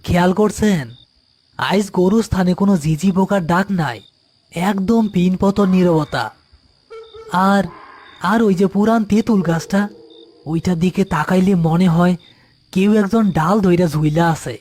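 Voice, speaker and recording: A jittery, superstitious young graveyard helper put on by a man in a horror cartoon. His high voice carries a rural Bangladeshi accent and halts fearfully, doubling back on words. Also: darkish tone. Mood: uneasy. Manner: fearful, halting, repeats words.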